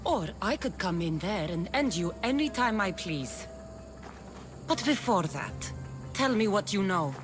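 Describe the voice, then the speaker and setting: A woman's voice: mid-range voice, sing-song, measured pace. A woman playing a fierce, bitter young warrior in a video game, with a taunting, cutting delivery. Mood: cold, challenging. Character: fierce young female cult-raised warrior, bitter, menacing, contemptuous. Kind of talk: cinematic video game acting. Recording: music under the voice.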